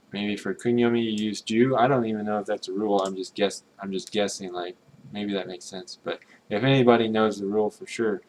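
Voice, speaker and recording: A man's voice: fairly low pitch, a little hiss or hum. A man streaming himself as he works through a game's text, thinking aloud and trying readings in a tentative, self-correcting way. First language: English. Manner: musing, tentative, mutters Japanese readings to himself. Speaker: streamer translating a game. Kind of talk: casual thinking-aloud commentary.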